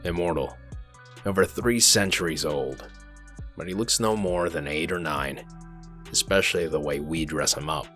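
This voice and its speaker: Man acting very deep, menacing enforcer. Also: normal speed, fairly bright tone, soft background music. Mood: cold, menacing. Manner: curt, threatening, coolly controlled. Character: gruff, menacing wolf sheriff in human form, cold and commanding. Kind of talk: comic dub voice acting.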